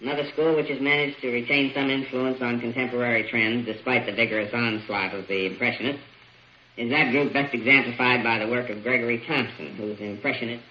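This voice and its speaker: An actor playing a pompous art lecturer spouting big academic words in a 1950s radio drama. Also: male voice, medium pitch, steady tone, fairly quick, natural voice. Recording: echoey, dull, noisy old radio recording.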